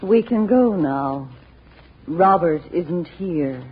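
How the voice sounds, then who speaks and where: A woman's voice: lowish voice, expressive, very slow, husky, full character voice. An actress in 1940s radio style playing a troubled mother, earnest and anxious after a supernatural fright. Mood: dazed, insistent. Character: troubled, dazed mother shaken by a supernatural encounter. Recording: dull old radio recording, loud music.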